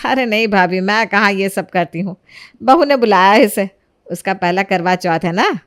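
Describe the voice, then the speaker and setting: A woman's voice: medium pitch, moderate pace, lightly acted. Woman as an indulgent, soothing mother-in-law. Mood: soothing, practical. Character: kindly, indulgent middle-aged mother-in-law, patient and soothing. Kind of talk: acted Hindi storybook narration.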